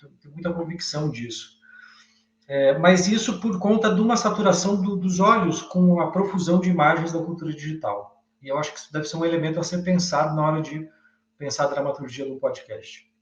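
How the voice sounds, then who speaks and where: Brazilian man, thoughtful, halting, class discussion, echoey room, muffled lo-fi sound. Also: a little high. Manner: thoughtful, halting, thinking aloud. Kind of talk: reflective spoken commentary in a class discussion.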